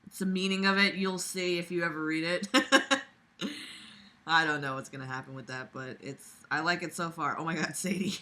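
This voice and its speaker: A woman's voice: deep voice, steady tone, normal speed, bright, forceful tone. A young woman reading aloud and commenting casually in a sarcastic, exasperated, blunt way, recorded in a room at home. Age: young adult. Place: small room at home, lying on a bed.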